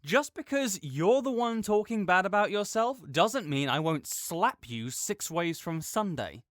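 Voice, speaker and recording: A man's voice: high voice, very animated, unhurried, a bit bright, no room sound, clean studio sound. A young man in an audio roleplay, talking as a supportive friend in a playful, reassuring way.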